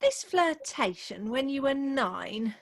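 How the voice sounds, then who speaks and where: High-pitched young bride played by an actress, sentimental and jealous, theatrically earnest Victorian comedy style for radio. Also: female voice, sing-song, takes it slowly, exaggerated voice. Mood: suspicious. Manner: mannered, declamatory, earnest. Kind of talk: theatrical comic radio play acting. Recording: remote home video-call recording.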